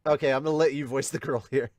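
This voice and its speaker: A man hosting a casual reaction show, reading along and commenting as an excitable, sweary fan. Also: medium pitch, dry recording, clean studio sound. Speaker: podcast host reacting to a manga. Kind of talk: casual reaction and amateur manga dub read-along.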